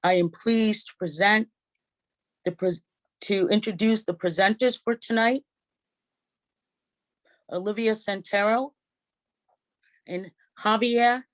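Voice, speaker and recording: Woman host reading her welcome remarks, steady middle pitch, careful pace, video call audio. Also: rough recording. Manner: measured, reads prepared remarks with small slips. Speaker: forum moderator. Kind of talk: formal scripted welcome.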